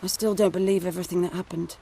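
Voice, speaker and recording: A woman's voice: fairly low pitch, steady tone, rapid-fire, gravelly, mellow, a little hiss or hum. An actress voicing an earnest, protective woman ally in a video game. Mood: earnest, concerned. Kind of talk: dramatic video game voice acting.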